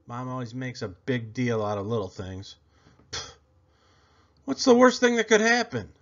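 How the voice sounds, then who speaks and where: A man reading a children's story aloud in a theatrical, expressive way, stretching out words for effect. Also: mid-range voice, normal speed, creaky. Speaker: storybook reader. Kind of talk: animated children's read-aloud. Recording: slightly dull, lo-fi audio.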